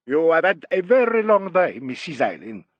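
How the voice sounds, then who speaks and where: A man's voice: a little high, big pitch swings, normal speed, no room sound, dull audio, voice pushed up. A man voicing a worldly Italian singing teacher in an audiobook, with an Italian accent and a courtly, theatrical, dryly mocking manner. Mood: courtly, solicitous. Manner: courtly, theatrical, dry, formal phrasing. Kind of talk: audiobook character dialogue.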